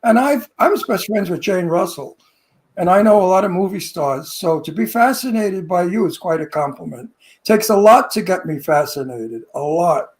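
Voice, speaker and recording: A man's voice: high-pitched, lively intonation, moderate pace. A man in his eighties co-hosting a celebrity interview show, talking in an effusive, emphatic way with lavish compliments and restarted phrases. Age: 80s. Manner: repeats words when starting sentences. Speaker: co-host.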